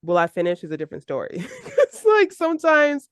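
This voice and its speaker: A woman's voice: high-pitched, wildly swooping pitch, a little fast, no room sound, studio quality. A woman hosting a casual podcast chat, talking with lots of fillers and easy laughter. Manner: chatty, laughing, filler-heavy. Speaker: podcast host.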